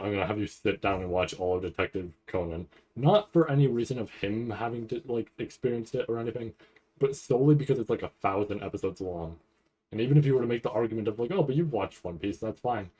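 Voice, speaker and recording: Male streamer, lowish voice, casual rambling delivery, thinks out loud in fragments and keeps checking in with the listener. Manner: thinks aloud in fragments, frequently checking with "right?". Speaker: streamer hosting a reaction stream. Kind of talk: casual, rambling livestream chat.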